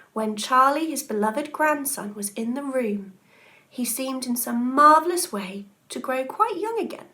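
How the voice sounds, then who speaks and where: High-pitched, very animated woman reading a storybook. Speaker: schoolteacher reading to pupils. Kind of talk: expressive children's storybook reading.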